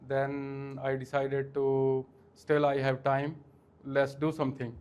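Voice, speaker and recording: A man in his forties from India with an Indian accent, answering interview questions in a halting way with many fillers. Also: medium pitch, monotone, darkish tone, clear, resonant tone. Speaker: guest, franchise owner.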